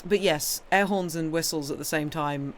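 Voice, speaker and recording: A woman's voice: low voice, normal speed. British female streamer, informal and playful, meandering self-interrupting chat, slight background noise. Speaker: livestream host and reader. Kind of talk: casual livestream chat.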